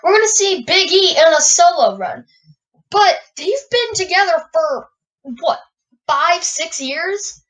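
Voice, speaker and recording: A woman hosting a wrestling podcast, raspy and stuffy from a cold, moving from an announcer-style welcome into loose rambling chat full of fillers. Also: very high-pitched, big pitch swings, slow pace, fairly bright tone, recorded from a distance, poor audio. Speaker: podcast host. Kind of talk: wrestling news commentary.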